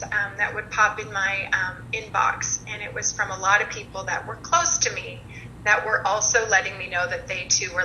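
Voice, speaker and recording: A woman's voice: medium pitch, sharp tone. A woman, a podcast guest, telling her story in a hesitant way with many ums. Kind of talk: casual conversational storytelling. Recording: echoey room with faint noise.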